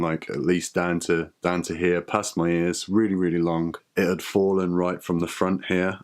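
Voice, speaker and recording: A man talks to camera in a personal video diary. His voice sits somewhat low, and his delivery is candid and reflective, with occasional halting restarts. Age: 30s. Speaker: vlogger.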